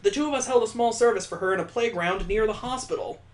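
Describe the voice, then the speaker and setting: Brooding young woman narrator for a visual novel, read by a man, intense, measured, obsessive yet restrained. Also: high-pitched, voice pushed up, natural voice. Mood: somber.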